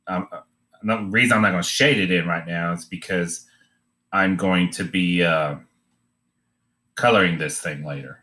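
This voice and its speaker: A man giving an informal, unscripted drawing demonstration, thinking aloud in a halting way as he teaches. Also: low voice, bright, forceful tone.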